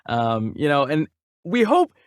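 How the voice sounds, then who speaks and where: A chatty, enthusiastic man co-hosts a casual entertainment podcast. His voice sits a little high and moves around with lively intonation. The sound is close and dry. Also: a little slow, clean tone, dry recording. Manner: chatty, enthusiastic self-described geek. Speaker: podcast co-host. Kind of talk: casual movie news podcast chat.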